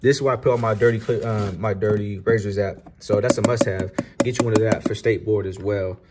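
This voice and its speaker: A man's voice: lowish voice, steady tone, fairly quick, very noisy. A young man who works as a barber, giving a casual, slangy product tour and often saying "you know.". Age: young adult. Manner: laid-back, slangy, repeats "you know". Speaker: barber and vlogger. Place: barbershop, indoors.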